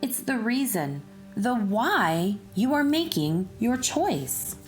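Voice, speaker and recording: A woman narrating a rhyming children's story in an expressive, deliberate way, speaking directly to young listeners. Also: a little high, faint music behind.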